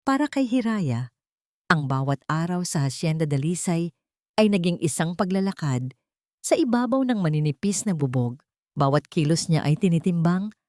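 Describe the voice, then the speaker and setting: A woman reads a dramatic story in a slightly low voice. Her delivery is measured and suspenseful, with pauses for weight. The sound is dry, with very little room in it. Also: a little mellow, no room sound. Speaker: story narrator. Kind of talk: dramatic scripted storytelling narration.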